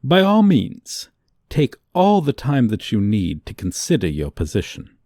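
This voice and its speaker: A man's voice: mid-range voice, wildly swooping pitch, measured pace, mellow, dry recording, studio quality, full character voice. A man voicing a haughty, courting dragon with pompous, archaic diction in an ASMR fantasy romance roleplay. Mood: haughty, teasing. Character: haughty, archaic-speaking male dragon, tenderly courting a human.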